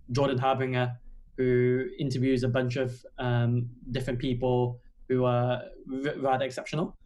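Guest spot: young man from Australia, monotone, easygoing with filler words. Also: medium pitch. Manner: relaxed, many ums and "kinda". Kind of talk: casual interview chat.

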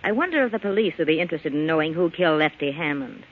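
An actress playing a hard, vengeful jilted club boss in a 1940s radio crime drama, curt and commanding. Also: female voice, low-pitched, lively intonation, racing. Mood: cold, menacing. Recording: muffled, faintly noisy old radio recording.

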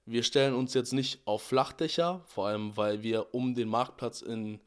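Young male podcast host, a bit hoarse from a cold, mid-pitched voice, relaxed teaching tone with small restarts. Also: normal speed. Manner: relaxed, explanatory, with fillers and restarts. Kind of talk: devotional podcast talk on a Bible verse.

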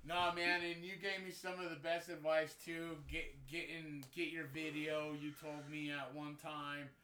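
Bright, excitable man co-hosting. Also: fairly high pitch, measured pace, echoey room, slight background noise. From Denver, United States. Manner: excitable, profane banter. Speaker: podcast co-host. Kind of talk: casual podcast chat.

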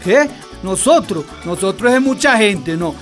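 An exasperated Venezuelan husband in a radio drama. This domineering man has a high-pitched, dismissive delivery in everyday slang, with faint music behind. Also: animated, unhurried. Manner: dismissive, colloquial.

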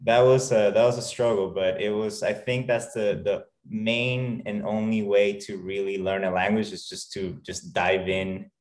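A man from Peru speaks in a notably low voice, telling his story as a podcast guest. He talks loosely and haltingly, full of "uh" and "you know" and restarted phrases. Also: fairly even intonation, a little mellow. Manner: halting, many fillers and restarts. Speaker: guest, fitness trainer. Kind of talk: casual interview talk.

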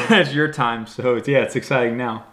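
A man's voice: medium pitch, normal speed. Joking young man chatting with his partner. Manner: joking, relaxed. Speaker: vlog guest, partner of host. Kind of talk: casual couple vlog chat.

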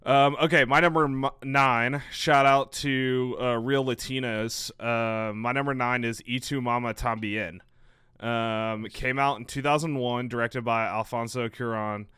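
A man's voice: medium pitch, steady tone, unhurried, bright, forceful tone, clean tone, no echo, studio quality. A man with a Texas accent co-hosting a podcast, casually teasing his co-host and giving firm opinions on films. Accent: Texan. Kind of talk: casual chat.

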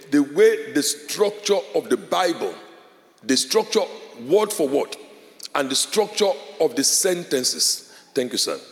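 A man, a Yoruba speaker, teaching a Bible class as a pastor. He explains step by step, repeats key phrases and keeps checking in with his listeners. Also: on the high side. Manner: explains step by step, checks in with "all right?" and "okay?". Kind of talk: classroom-style teaching talk. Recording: long hall reverb.

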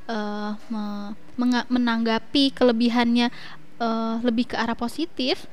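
Giggly woman in her twenties, high voice. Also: unhurried. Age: 20s. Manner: relaxed, giggly, hesitant fillers. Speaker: podcast guest. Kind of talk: casual podcast chat. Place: coffee shop.

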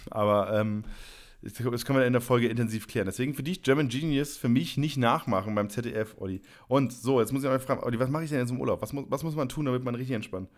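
A man's voice: medium pitch, fast talker. Rambling, wry male podcast co-host. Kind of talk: casual podcast chat.

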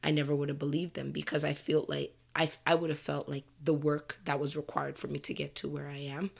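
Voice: mid-range voice, monotone, a little fast, creaky. A podcast host with a froggy voice from a slight cold, giving a candid, earnest motivational monologue to listeners.

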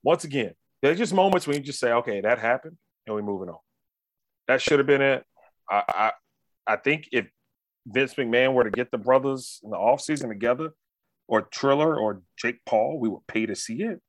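An American man hosting a casual sports talk show, telling stories in an animated, slangy way with frequent check-ins to the listener. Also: medium pitch, normal speed, dry recording, poor audio. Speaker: sports talk podcast host.

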